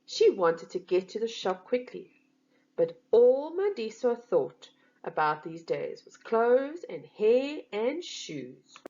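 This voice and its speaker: Woman, children's storybook narration, deliberate, animated intonation, slightly dull recording. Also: a little high.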